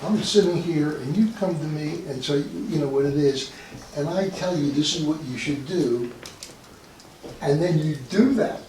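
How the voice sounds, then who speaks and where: Higher-voiced man, very echoey room. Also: normal speed, darkish tone. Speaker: resident property owner. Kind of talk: public meeting discussion. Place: indoor public meeting room. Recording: very echoey room, distant mic, faint noise.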